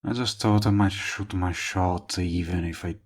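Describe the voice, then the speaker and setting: A man playing a smug but tender villain in love, speaking intimately to the listener in a romantic audio roleplay, with a low, husky voice. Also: steady tone. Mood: wistful, awkward. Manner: slow, murmuring, teasing. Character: lovestruck male villain, smug yet tender, persistent suitor. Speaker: voice actor.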